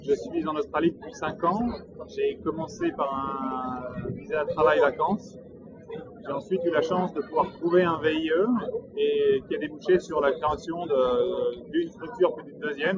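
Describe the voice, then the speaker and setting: A man in his twenties from Brittany, an entrepreneur giving measured advice in an interview, with frequent hesitations. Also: a little high, expressive. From Brittany, France. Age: 20s. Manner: measured and advisory, many hesitations. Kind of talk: interview monologue. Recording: distant, muffled mic with loud background noise.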